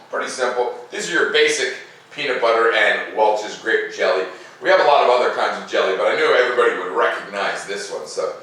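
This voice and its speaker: Echoey, bright-voiced American man teaching kids. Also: mid-range voice. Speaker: teacher reading stories aloud to young pupils. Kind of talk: casual chat to children, then storybook read-aloud. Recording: echoey room, a bit far from mic.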